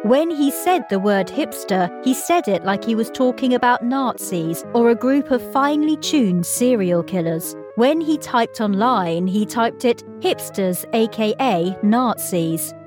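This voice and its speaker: Female horror narrator, scripted read, voice a little high, faint music bed. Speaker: story narrator.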